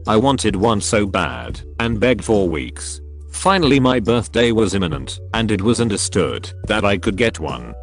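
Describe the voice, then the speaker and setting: A man with a low voice reads out a string of short reader stories. His delivery is dry, but his pitch moves around a lot. Faint music plays underneath. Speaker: narrator reading online posts. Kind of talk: scripted narration of reader stories.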